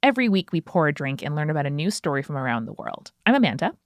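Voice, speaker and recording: A woman's voice: deep voice, racing, no room sound, clean studio sound. A woman co-hosting a podcast, playful and reactive in casual chat. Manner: playful, reactive, lively interjections. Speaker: podcast co-host.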